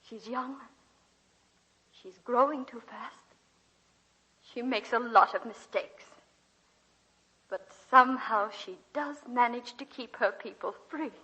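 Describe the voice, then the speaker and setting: An actress playing a retired college teacher over seventy, dry, tender and reflective, in a 1940s radio drama style. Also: female voice, fairly high pitch, slow, pauses often, sharp tone. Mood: moved, solemn. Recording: dull vintage 1940s radio recording.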